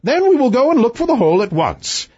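A man voicing a hot-tempered old king who blusters and shouts, on a dull lo-fi recording. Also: very high voice, very animated, quick pace, slightly rough, pitched above the performer's own voice, heavily acted. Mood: blustering.

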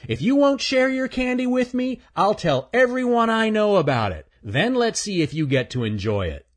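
A man's voice: medium pitch, big pitch swings, moderate pace, breathy edge, voice pushed up. A man voicing a cocky male chipmunk who teases and wheedles in a children's story. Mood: threatening. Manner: teasing, pushy.

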